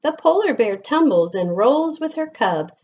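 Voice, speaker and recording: American female storybook reader, very unhurried, expressive phrasing, slightly muffled lo-fi recording. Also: on the high side. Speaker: elementary school teacher reading aloud. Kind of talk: picture-book read-aloud for children.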